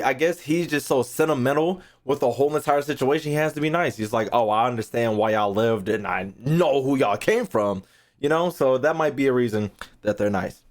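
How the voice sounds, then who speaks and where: A young American man streaming a game, chatting casually with slang and swearing, often rambling and repeating himself. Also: lowish voice. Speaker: gaming streamer. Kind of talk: casual, profane game reaction chat.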